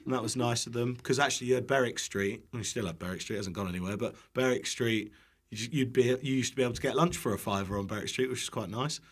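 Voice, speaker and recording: A young man with a British accent and a mid-pitched voice talks as a podcast guest. He rambles casually through anecdotes, cutting himself off and acting out other people's remarks. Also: quick pace. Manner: rambling, self-interrupting, acts out quotes. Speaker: podcast guest, online cook.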